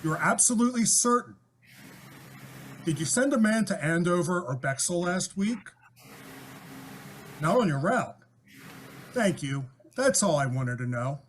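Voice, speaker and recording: A man playing a brisk, businesslike police inspector in an old-time radio mystery, curt and authoritative. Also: sits high, very animated, a bit bright, slight background noise, exaggerated voice. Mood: brisk, official.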